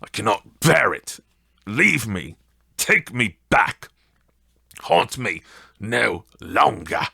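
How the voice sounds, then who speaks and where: A man's voice: on the low side, big pitch swings, takes it slowly, vocal fry, pauses often, bright, forceful tone, husky, no room sound, clean studio sound, full character voice. A man voicing an agitated, remorseful older miser in an audiobook of a Victorian tale. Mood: anguished pleading.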